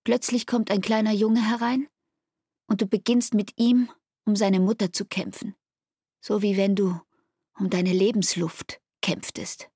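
Wounded lover, soft and husky. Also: female voice, mid-range voice, little pitch movement, unhurried, no echo, clean studio sound. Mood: hurt, reproachful. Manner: intimate, reproachful. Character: wounded, disillusioned woman reproaching her lover. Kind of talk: German radio play acting.

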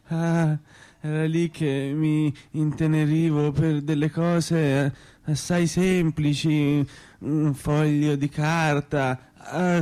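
A man's voice: a little high, a little mellow. An actor playing a dazed, nostalgic old hippie man in a radio play, rambling in broken phrases with a Tuscan Italian accent. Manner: slow, rambling, broken by sighs and chuckles. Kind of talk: theatrical radio drama monologue.

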